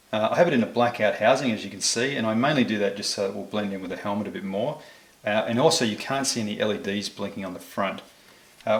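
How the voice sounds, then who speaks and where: A man's voice: on the low side, creaky, a bit bright, reverberant. A man giving a casual, step-by-step product review to camera in an informal, explanatory way. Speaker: motorcycle vlogger presenting a gear review.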